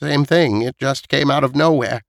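A man's voice: mid-range voice, normal speed, vocal fry, dry recording, clean studio sound, pitched above the performer's own voice, lightly acted. A man voicing a stern, blunt elderly woman who knows more than others, in an audiobook story. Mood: grave, matter-of-fact.

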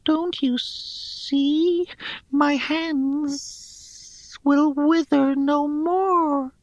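A man voicing a frail, aged female tree creature, glum and guilt-ridden, in a slow, thin, quavering falsetto for a fantasy audiobook. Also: fairly even intonation, no echo, heavily acted, poor audio. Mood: pleading. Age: older.

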